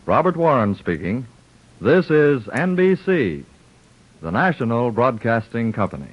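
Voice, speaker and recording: A man's voice: mid-range voice, sing-song. Formal old-time radio announcer, man. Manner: measured, formal. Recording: dull old radio broadcast with music.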